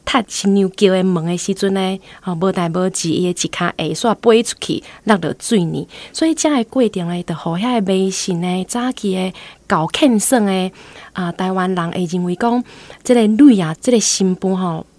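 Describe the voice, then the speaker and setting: A woman's voice: medium pitch, moderate pace, a little mellow, no room sound. A woman with a Taiwanese accent giving explanatory literary commentary as a guest professor, using frequent tag particles.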